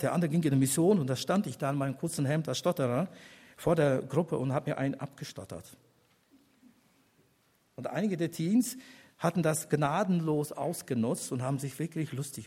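An older man with a slightly husky, somewhat high voice gives a personal testimony from the pulpit. He speaks deliberately, pausing between phrases, then lifts into emphatic appeals to his listeners. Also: a little mellow. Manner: earnest, deliberate with pauses, rising to emphatic appeals. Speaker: church elder giving a testimony. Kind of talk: sermon testimony.

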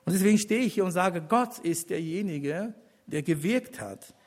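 An older man, a church elder, giving an earnest personal testimony as a sermon, speaking deliberately with pauses and rising to emphatic appeals to the congregation. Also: high voice, lively intonation, darkish tone.

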